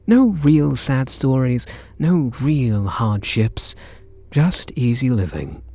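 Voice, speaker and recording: A British man playing a reluctant kidnapper in an intimate ASMR roleplay, wry and incredulous, speaking in short halting phrases. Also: mid-range voice, dark tone, dry recording. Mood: softening, reflective. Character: reluctant male kidnapper, gruff but secretly softening. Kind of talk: ASMR roleplay acting, intimate one-sided dialogue.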